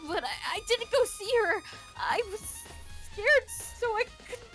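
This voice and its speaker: A woman's voice: extremely high pitch, unhurried, a bit bright, soft background music, low-quality recording. A woman voicing a nervous, dramatic teenage girl with stammering delivery in an amateur video game dub. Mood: sheepish, guilty.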